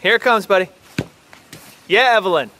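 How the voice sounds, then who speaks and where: Devoted scientist father, fairly high voice, natural delivery, audio drama, faint background noise. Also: moderate pace, pushed and bright. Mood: cheerful, encouraging. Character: affectionate father and dedicated scientist.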